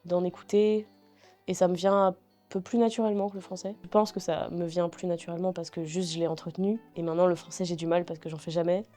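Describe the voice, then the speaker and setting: A young woman chats in a relaxed, unhurried way, her voice low-pitched and mellow. She pauses often and fills gaps while she searches for words. Faint music sits under the conversation. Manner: relaxed, hesitant, many fillers. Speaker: singer-songwriter guest. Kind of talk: casual interview chat.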